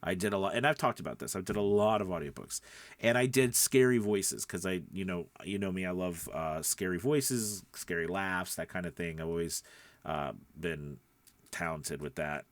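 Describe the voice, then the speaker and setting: A man's voice: sits low, moderate pace, no room sound. A man giving casual instructional talk as a voiceover coach, conversational and emphatic, often checking in with the listener. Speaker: voiceover coach and podcast host.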